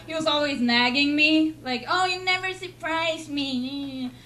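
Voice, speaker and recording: A young woman from Sweden with a Swedish accent, doing a deadpan, self-mocking stand-up set in a comedy club. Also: high voice, takes it slowly. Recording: noisy club recording.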